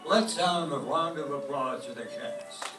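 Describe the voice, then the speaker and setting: A man's voice: on the high side, a little slow. A man announcing in the style of an old-time radio network show. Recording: echoey stage, distant mic, music.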